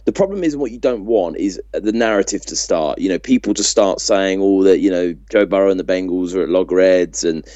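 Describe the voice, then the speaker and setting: A man's voice: low voice, a little fast, hardly any pauses, mellow, no echo. A man co-hosting a sports podcast in casual, conversational style, recorded on a slightly dull microphone. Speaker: podcast co-host.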